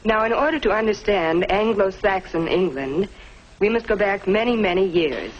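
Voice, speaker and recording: An actress playing a formal schoolteacher lecturing a class in a 1950s instructional film. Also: female voice, medium pitch, animated, normal speed, close to an everyday voice. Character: formal, orderly schoolteacher giving a history lesson. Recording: muffled, hissy 1950s film soundtrack.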